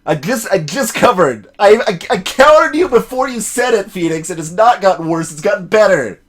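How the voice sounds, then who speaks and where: Animated man doing let's play commentary. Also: sits high, moderate pace. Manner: animated, joking, digressive. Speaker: let's play host, reading and voicing game dialogue. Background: soft game music.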